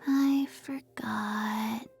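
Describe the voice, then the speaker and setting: A woman voicing a forgetful little zombie girl in a video game, halting and deadpan. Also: a little high, creaky, faint music behind, full character voice. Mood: earnest, forgetful.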